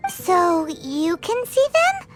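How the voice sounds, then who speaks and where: A woman voicing a tiny, childlike, excitable fairy companion in an anime game, whiny and nosy, speaking in the third person. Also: very high-pitched, slow pace, full character voice. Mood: eager. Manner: refers to self in third person, whiny, pouty. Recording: music behind the voice.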